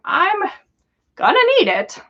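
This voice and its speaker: A woman's voice: high-pitched, very animated, slow, sharp tone, muffled recording, voice pushed up, full character voice. A woman voicing a weary old male wizard who is dry, civil and sarcastic, in a fan fiction story. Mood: weary, awkward.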